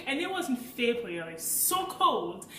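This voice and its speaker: A woman in her late twenties from Africa, with a French accent, chatting casually as a vlogger and telling a personal story with lively, laughing energy. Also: on the high side, measured pace, echoey room, far from the mic, a little hiss or hum. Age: late 20s. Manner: chatty and animated, laughs while telling stories.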